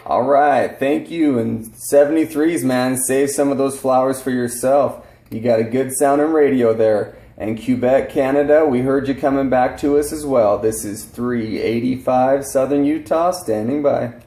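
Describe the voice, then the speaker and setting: A man operates a CB radio in a roomy space with plenty of noise around him. He speaks in procedural radio jargon, courteous and orderly, at a middle pitch. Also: moderate pace, hardly any pauses. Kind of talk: CB radio skip talk with call signs and jargon. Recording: roomy home recording with clear radio noise. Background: CB radio static.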